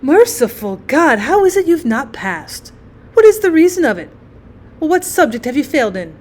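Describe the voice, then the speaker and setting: A woman's voice: sits high, very animated, normal speed, darkish tone, voice pushed up. A woman voicing an overwrought, self-pitying mother who scolds and laments in a storybook reading. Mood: bitter, lamenting. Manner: lamenting, melodramatic.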